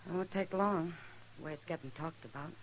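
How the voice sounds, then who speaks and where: A woman's voice: fairly low pitch, a little fast, close to an everyday voice, poor audio. An actress playing a worried frontier townswoman in a 1950s radio western.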